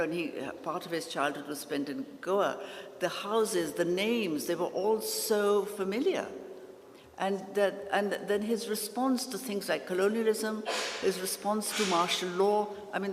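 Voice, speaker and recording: A woman's voice: on the low side, slight background noise. A woman speaking earnestly on a literary panel, often restarting her sentences. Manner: earnest, with many restarts and fillers. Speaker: literary critic and anthology editor on a panel. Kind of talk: panel discussion. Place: large festival hall. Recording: hall with long reverb.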